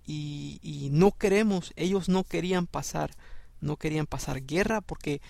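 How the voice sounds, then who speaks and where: Gravelly Salvadoran Bible teacher. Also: male voice, on the high side, measured pace, darkish tone, dry recording. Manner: conversational, acts out the people in the story with raised voices, keeps asking "¿no?". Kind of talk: informal Bible study teaching.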